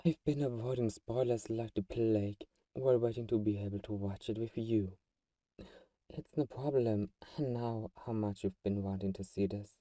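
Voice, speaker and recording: A man playing a doting but secretly manipulative vampire friend in an ASMR roleplay, soft-spoken and fussing, with a mellow, dark tone. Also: lowish voice, dry recording, distant mic, close to an everyday voice. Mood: chatty, wry.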